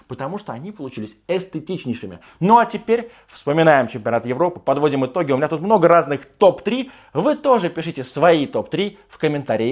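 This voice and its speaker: Hoarse man hosting a football show. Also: fairly high pitch. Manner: emphatic, gesturing, mock-enthusiastic asides. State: strained, partly lost voice. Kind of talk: animated football commentary monologue. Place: small room with sofa, indoors.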